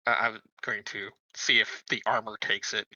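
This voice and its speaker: A man's voice: lowish voice, level tone, normal speed, bright, forceful tone, dry recording. A man playing a tabletop game, chatting casually and often repeating his words, on slightly dull audio.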